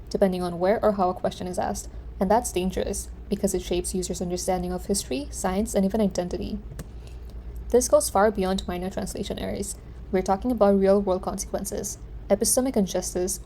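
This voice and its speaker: A female student giving a scripted class presentation in an earnest, steady delivery. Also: medium pitch, a little hiss or hum.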